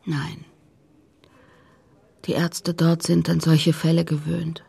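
Very husky, breathy grieving mother. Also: low-pitched, level tone, warm tone, heavily acted. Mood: subdued, halting. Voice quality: very husky, breathy, whispery, creaky. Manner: halting, hesitant. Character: grieving, guarded mother, middle-aged, haltingly recounting. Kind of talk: radio play acting.

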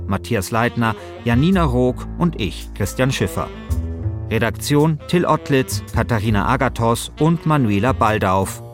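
Man narrating over music. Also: medium pitch. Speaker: podcast host and narrator. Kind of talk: scripted first-person narration.

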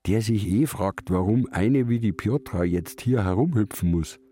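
An actor playing a gruff, taciturn old fisherman in a radio drama, speaking and narrating laconically with a Bavarian accent. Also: lowish voice, moderate pace, warm tone, no room sound, lightly acted. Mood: musing, grumbling. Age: older. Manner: laconic, grumbling dialect speech. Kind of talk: radio drama, part narration in third person.

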